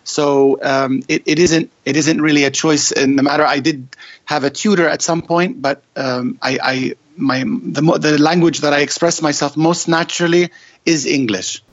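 Interview guest: thoughtful poet, slightly higher male voice, muffled lo-fi sound, unhurried and reflective. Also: fairly even intonation. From Egyptian-Lebanese family. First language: Arabic. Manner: measured, reflective, some hesitant restarts. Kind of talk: poetry reading, then conversational answers. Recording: dull lo-fi audio.

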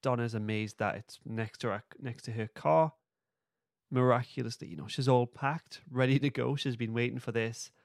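A man's voice: mid-range voice, steady tone, normal speed, creaky, dry recording, clean studio sound. A man co-hosting a podcast, loosely and a little hesitantly recapping a story in casual chat. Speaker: podcast co-host.

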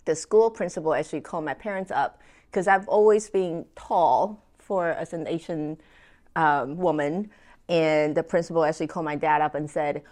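A woman from Taiwan, a French horn player telling stories in a relaxed interview, with many ums. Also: low-pitched, normal speed. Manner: animated storytelling with frequent ums and laughs. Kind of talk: conversational interview.

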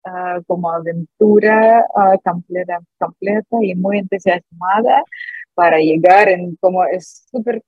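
Russian-accented woman, husky and dry. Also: fairly low pitch, dry recording, narrow, tinny audio. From Siberia, Russia. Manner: halting, restarts with drawn-out fillers and frequent laughs. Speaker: startup founder, guest. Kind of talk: casual interview chat.

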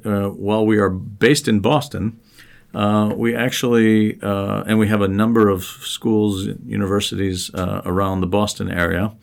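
A man with a low, gravelly voice hosts a casual podcast. He talks in a friendly, easygoing way and works through long lists of places and names one at a time. He is recorded on a studio podcast microphone. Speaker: podcast host and professor.

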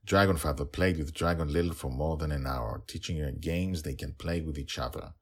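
A man hosting and narrating a story podcast, moving between conversational talk and scripted reading with deliberate, drawn-out announcements. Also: very deep voice, husky. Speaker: podcast host and author-narrator.

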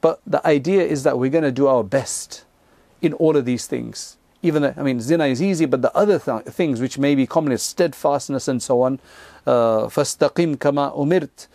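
Guy giving an islamic talk, gravelly low-key voice, chatty asides, dry close sound. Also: quick pace, mellow, no room sound. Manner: conversational, explains Arabic quotes in English with frequent asides. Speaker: Islamic teacher. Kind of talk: religious lecture retelling hadith.

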